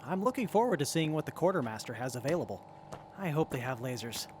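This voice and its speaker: A man's voice: on the high side, big pitch swings, quick pace, a little mellow, a little hiss or hum. A man playing an earnest, politely eager wasteland crew member in an audio drama. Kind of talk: scripted post-apocalyptic radio drama.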